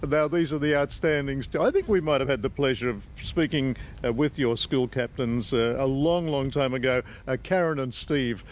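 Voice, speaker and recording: A man's voice: mid-range voice, normal speed, hardly any pauses. An Australian man working as a TV news reporter, doing a chatty interview on location. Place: on location at a school.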